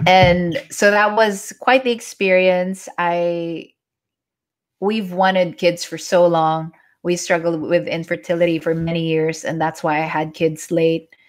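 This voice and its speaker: Lower-pitched Filipino woman, chatty. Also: little pitch movement, unhurried. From Philippines (Manila). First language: Tagalog. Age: 40s. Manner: chatty, reads and answers viewer comments. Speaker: yoga instructor hosting a live chat. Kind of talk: casual live-stream chat. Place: at home during a live stream.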